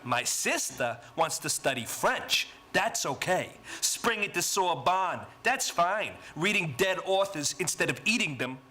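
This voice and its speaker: A man's voice: sits high, lively intonation, a little slow, hardly any pauses, bright, forceful tone, full character voice. An actor playing a self-pitying male vulture who talks like a wiseguy, recorded live on stage.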